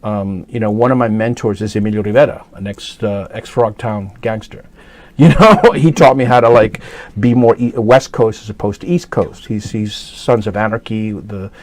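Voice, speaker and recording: A man's voice: lowish voice, moderate pace, slight background noise. A middle-aged man from New York City talking casually as an interview guest, rambling with many fillers and restarts. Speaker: guest actor.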